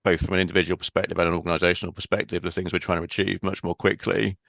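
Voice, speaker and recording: A man speaking as a podcast guest in a casual interview, thoughtful and measured, often pausing on "um". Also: sits low, flat delivery, vocal fry, fairly bright tone, dry recording. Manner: thoughtful, measured, with frequent ums.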